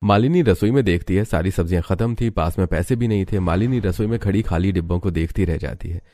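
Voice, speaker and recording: A man's voice: low voice, quick pace, warm tone, dry recording. A man reading scripted story narration as the narrator.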